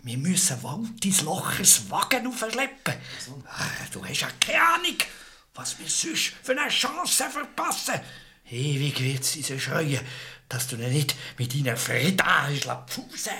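An actor playing a bossy, greedy, incredulous village innkeeper with a Swiss German accent in a radio drama. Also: male voice, on the high side, huge pitch swings, a little slow, pushed and bright, exaggerated voice. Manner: repeats questions in disbelief, curt orders.

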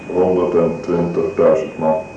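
Heard at a distance in an echoey space, a deferential military aide in a radio drama. His male voice is deep, formal and measured. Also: flat delivery. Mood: respectful. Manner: formal, clipped, respectful. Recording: muffled, echoey, distant, faint hiss.

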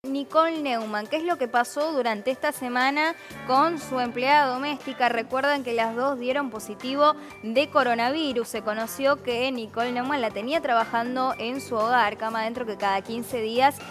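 A woman's voice: a little high, normal speed. A woman with an Argentine accent recapping news on radio, setting it up with rhetorical questions. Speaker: radio columnist. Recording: music bed behind voice.

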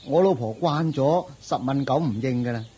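An actor playing a poor, hapless husband in a radio drama, meek, plaintive and stammering in Hong Kong Cantonese. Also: male voice, medium pitch, moderate pace, warm tone, slightly rough, close to an everyday voice.

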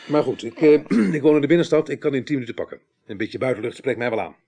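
A man's voice: medium pitch, animated, quick pace, warm tone, slight background noise, lightly acted. A man playing a middle-aged, plain-spoken police inspector in a radio drama, dry and understated. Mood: awkward, matter-of-fact. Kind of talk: 1980s Dutch radio drama acting.

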